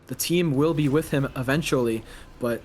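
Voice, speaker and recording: A man's voice: mid-range voice, normal speed, darkish tone, slight background noise. A man giving live play-by-play and analysis as an esports commentator.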